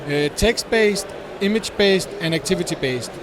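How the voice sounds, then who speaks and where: High-voiced, halting man over clear background noise. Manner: hesitant, halting, searching for words. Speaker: startup representative being interviewed. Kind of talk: explanatory interview answers. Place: busy tech conference venue. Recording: noisy event hall, steady din.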